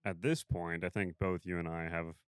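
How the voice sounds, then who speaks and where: A man with a very deep, smooth, resonant voice hosts a podcast and chats casually about police work. He talks in a relaxed way with many fillers. The sound is dry and close. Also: normal speed, dry recording. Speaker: podcast host and police officer. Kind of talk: casual interview chat. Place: podcast studio.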